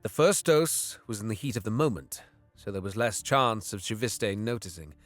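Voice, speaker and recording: A man playing a bitter, scheming young alien warrior, narrating and speaking with measured, guarded care in an audio drama. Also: on the low side, animated, no room sound, soft background music, lightly acted. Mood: conspiratorial. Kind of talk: first-person dramatic narration and dialogue.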